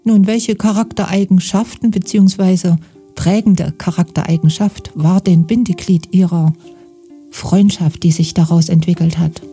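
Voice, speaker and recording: Very bright-voiced woman radio interviewer. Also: fairly low pitch, fairly even intonation, normal speed, slightly rough, faint music behind. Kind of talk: interview with prepared questions.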